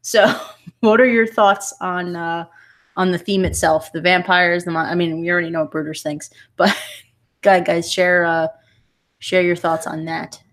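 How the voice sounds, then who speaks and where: A woman hosting a casual podcast, relaxed and a little hesitant, chuckling now and then. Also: fairly low pitch, normal speed. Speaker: podcast host.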